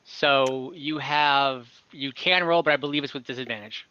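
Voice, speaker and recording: Game master man, bright, hesitant rulings, dull home call mic. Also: mid-range voice, clean tone. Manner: halting, fillers and self-corrections while ruling. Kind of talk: narrating and ruling in an online tabletop game. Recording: dull lo-fi audio.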